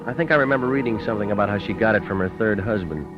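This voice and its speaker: An actor playing a tough, wisecracking, cynical private eye, terse and deadpan, in an old-time radio detective drama. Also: male voice, on the low side, racing, music in the background, close to an everyday voice. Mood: wry narration. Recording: dull, noisy old radio recording.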